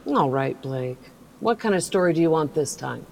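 A woman playing a composed, indulgent aunt who tells spooky bedtime stories in a measured way, in an audio drama. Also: deep voice, animated, vocal fry, a little mellow, a little hiss or hum. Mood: composed, mysterious. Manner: measured storytelling.

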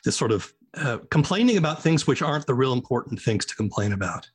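A man's voice: medium pitch, very animated, quick pace, breathy edge. An American man interviewing guests in a conversational style. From United States.

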